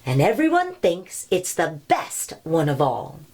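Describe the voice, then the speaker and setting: A woman's voice: low-pitched, wildly swooping pitch, measured pace, darkish tone, full character voice. A woman voicing a hopeful, upbeat young boy in a storybook read-aloud, asking rhyming questions with confidence.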